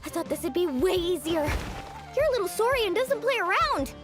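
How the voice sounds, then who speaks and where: Young fantasy game heroine, very high voice, animated, spirited, faint music. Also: female voice, moderate pace. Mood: fired up. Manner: spirited, expressive. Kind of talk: video game voice acting.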